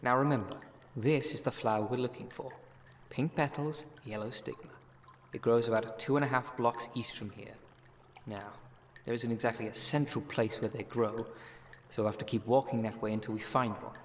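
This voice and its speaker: A man acting a brisk, wry city witch in an ASMR roleplay audio drama, talking in a dry, instructive way. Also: mid-range voice, fairly even intonation, a little hiss or hum, natural voice. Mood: dry, explanatory.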